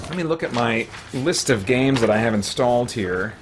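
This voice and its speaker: A man's voice: mid-range voice, expressive, moderate pace, over music. A middle-aged man chatting casually and thinking aloud as he livestreams video games from a small room at home. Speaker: video game streamer. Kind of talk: casual unscripted livestream chat.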